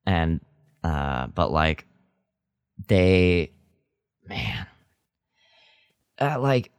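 A man's voice: low-pitched, big pitch swings, very slow, clean tone, no room sound, studio quality. A man co-hosting a podcast in casual chat, speaking emphatically and with enthusiasm. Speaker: podcast co-host.

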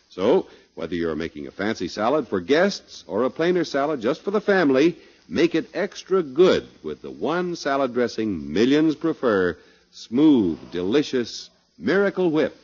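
Husky, animated vintage radio announcer man. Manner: polished, emphatic sales pitch. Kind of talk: 1950s radio advertising and scripted narration. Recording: dull old radio recording.

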